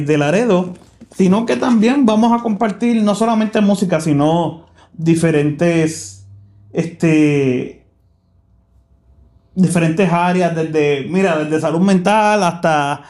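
A man's voice: high-pitched, a little mellow. A man hosting a casual podcast from a church live room, chatting in a rambling way with many fillers and restarts. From South Texas. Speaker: podcast host.

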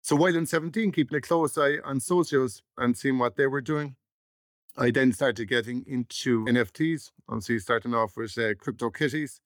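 A man's voice: medium pitch, normal speed, no room sound, studio quality. A man, a startup chief executive explaining his background conversationally as an interview guest.

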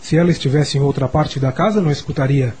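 An actor playing a lonely, sickly rich schoolboy in a Brazilian radio drama, confiding in a childlike way, with a Brazilian accent and a husky voice. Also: male voice, on the high side, fast talker, warm tone, a little hiss or hum, natural voice. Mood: explaining, polite.

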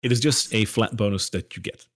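A man narrating as game master of a tabletop game, describing scenes in an unhurried way. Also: on the low side, a bit bright.

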